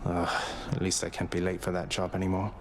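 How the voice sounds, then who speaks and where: A man's voice: sits low, monotone, fairly quick, gravelly, a little hiss or hum. A man voicing a sarcastic, defiant young prince in a video game. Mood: dry. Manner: sarcastic, dry, exasperated. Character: defiant young male prince, sarcastic, weary of his father.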